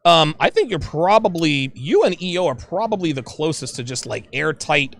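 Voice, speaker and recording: Male host, high voice, lively swinging delivery, jokey panel banter. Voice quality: high-pitched voice. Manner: animated, joking, quick to laugh. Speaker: podcast host. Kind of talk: casual panel chat.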